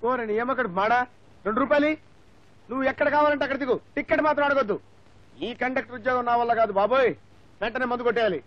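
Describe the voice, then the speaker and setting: Shrill, exasperated bus conductor. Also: male voice, steady tone, fast, no room sound. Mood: exasperated, whining. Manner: whining, loud, pleading. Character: exasperated, hard-drinking male bus conductor, whiny and quick-tempered. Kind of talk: broad film comedy acting. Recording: dull old film soundtrack, music.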